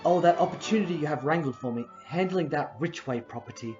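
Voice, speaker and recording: A woman's voice: deep voice, fast, lightly acted. A woman playing an earnest, affectionate young man in love in an audio play, eager to prove himself. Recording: muffled audio, faint music and chatter.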